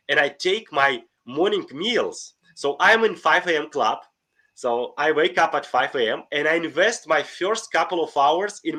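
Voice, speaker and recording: A man's voice: fairly high pitch, bright, forceful tone. A middle-aged man with a Russian accent, speaking earnestly and enthusiastically as a podcast guest, often restarting his sentences. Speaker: guest, life coach. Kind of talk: podcast interview.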